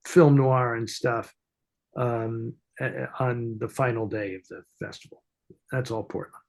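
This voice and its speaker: A man hosting a casual, unscripted talk show, speaking in a halting, discursive way as he searches for his words. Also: mid-range voice. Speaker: show host, film expert answering fan questions. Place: at home, upstairs room.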